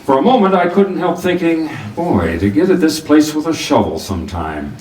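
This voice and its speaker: An actor playing a wry, hardboiled private detective, narrating a noir radio drama in a clipped way. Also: male voice, a little high, big pitch swings, moderate pace, a little mellow. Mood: wry, brisk. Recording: roomy, distant mic, faint noise.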